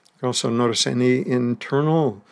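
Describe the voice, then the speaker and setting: Man, meditation teacher, gravelly, slow and gentle, long pauses, soft laughs. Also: mid-range voice, steady tone. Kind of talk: guided meditation.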